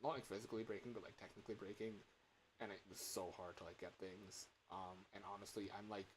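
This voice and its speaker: A young man livestreaming in a casual chat, rambling and halting as he corrects himself mid-sentence. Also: fairly low pitch, steady tone, slight background noise, low-quality recording. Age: young adult. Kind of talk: casual chat with stream viewers.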